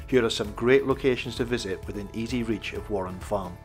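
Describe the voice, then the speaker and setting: A man reading a scripted campsite review voice-over in an even, measured way. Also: fairly low pitch, faint music behind.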